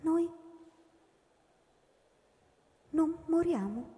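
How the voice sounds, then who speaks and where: An eerie immortal alien in a radio play. The voice is high and mellow, speaking very deliberately and formally, with slow insistence in a reverberant hall. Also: female voice, lively intonation. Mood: patient, eerie. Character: immortal alien explaining its race, patient and eerie. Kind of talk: theatrical radio drama recitation.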